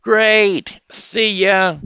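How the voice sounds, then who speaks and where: A man voicing a chatty frog with a big mouth, greeting animals in a high, loud, boastful voice. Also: fairly even intonation, very slow, smooth, no room sound, dull audio, heavily acted. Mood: boastful cheery.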